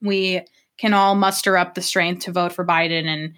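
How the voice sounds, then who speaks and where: A woman's voice: on the low side, fairly even intonation, moderate pace, fairly bright tone, clean tone. A young woman from Maine co-hosting a podcast, chatting in an earnest, casual way with sighs and laughs. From Portland, Maine, US. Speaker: podcast co-host.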